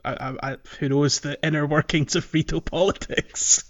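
A man's voice: a little high, steady tone, moderate pace. A man co-hosting a podcast in casual, hesitant, meandering and amused chat, on slightly muffled audio. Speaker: podcast co-host. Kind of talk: casual chat about a video game.

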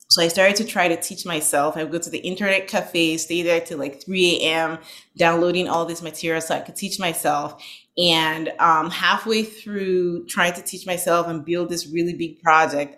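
Woman, 30s, from Nigeria; lowish voice; reflective interview guest, ums and restarts. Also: fairly bright tone. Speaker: guest, franchise owner. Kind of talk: interview storytelling.

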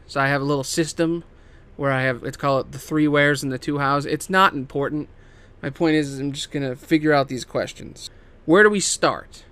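Higher-voiced man, smooth and emphatic. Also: fairly even intonation, moderate pace, a bit bright. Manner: emphatic and theatrical, swinging into casual asides; casual swearing. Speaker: host pitching video game movie adaptations. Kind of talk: casual, semi-improvised story pitch to camera.

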